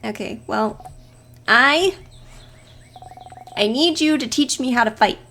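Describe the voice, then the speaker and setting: A woman voicing a stubborn, excitable young child in a video game, blurting and insistent. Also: a little high, slow pace, a little hiss or hum, exaggerated voice. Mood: determined.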